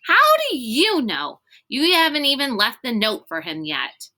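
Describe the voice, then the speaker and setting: Woman reading as a blunt, bright-voiced skeptical girl. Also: a little high, wildly swooping pitch, measured pace, voice pushed up, heavily acted. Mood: exasperated. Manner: pointed, exasperated questioning.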